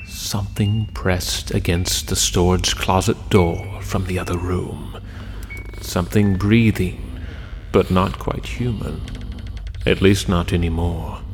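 An actor playing a sinister, inviting horror storyteller narrating an audio anthology. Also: male voice, deep voice, steady tone, slow pace, slight background noise. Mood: ominous. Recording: prominent music behind the voice.

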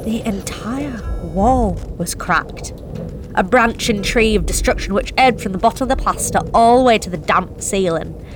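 A woman's voice: mid-range voice, background noise, natural voice. An actress playing a nervous young delivery driver, telling her story in a tense, confessional first-person monologue with blunt swearing. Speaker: narrating actor.

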